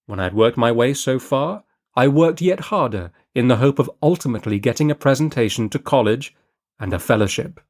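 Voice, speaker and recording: A male audiobook reader telling a classic story at a measured, deliberate pace. His voice sits at an ordinary middle pitch for a man. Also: lively intonation. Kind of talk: scripted narration of a classic short story.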